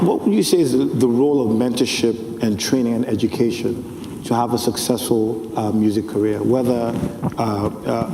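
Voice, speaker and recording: A man's voice: mid-range voice, vocal fry, warm tone, slight background noise. A man moderating a conference panel, asking loose questions with filler ums and rights. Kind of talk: panel discussion. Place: large conference hall stage. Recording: echoey hall with faint ambience.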